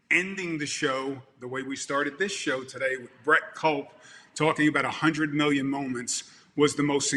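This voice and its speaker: A man in his fifties with a Brooklyn accent, giving an animated motivational talk over a video call and repeating words for emphasis. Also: fairly high pitch, in a large hall, slight background noise. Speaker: event producer speaking to a conference. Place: home room with plants and lamps, indoors. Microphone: video call webcam.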